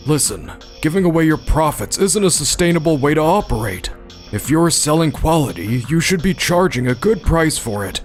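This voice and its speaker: A man playing a scornful, pompous businessman father in a manga dub, blustering and lecturing. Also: fairly high pitch. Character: scornful, pompous father, supermarket chief executive. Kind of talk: expressive manga dub voice acting.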